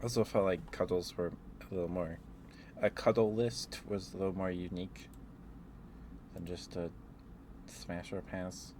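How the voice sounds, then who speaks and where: Slow, low-voiced man rambling in ASMR. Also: steady tone, noisy background. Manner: rambling, hesitant. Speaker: streamer.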